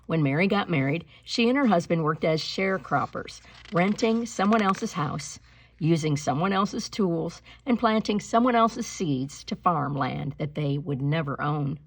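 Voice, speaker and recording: A woman reading a storybook aloud like a teacher, with deliberate, expressive phrasing and instructive delivery. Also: sits low, husky, low-quality recording. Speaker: teacher reading a picture book aloud to pupils.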